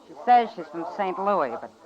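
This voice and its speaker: A woman's voice: lowish voice, very animated, moderate pace, a little mellow. An actress with an American accent playing an easygoing, worldly saloon woman in a radio western, chatty and familiar. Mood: gossipy, frank. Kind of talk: old-time radio western acting. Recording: muffled old-time radio recording.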